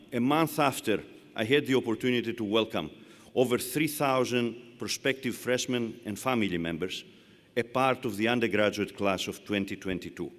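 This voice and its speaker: Brief: middle-aged man, Greek accent, formal oratory, long arena reverb. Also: medium pitch. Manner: measured, emphatic oratory. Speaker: university chancellor. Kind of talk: commencement speech. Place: large arena.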